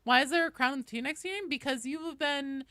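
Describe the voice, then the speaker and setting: Lady game streamer, bright kinda high voice, super animated, swears a lot, just chatting w viewers. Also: quick pace, no room sound, studio quality. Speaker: video game livestreamer. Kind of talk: casual livestream chat and game commentary.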